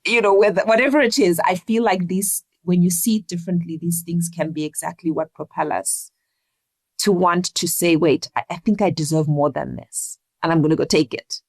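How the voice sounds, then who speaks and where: A woman from Zimbabwe, speaking earnestly and deliberately as a reflective interview guest. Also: lowish voice, no echo. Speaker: interview guest, leadership coach.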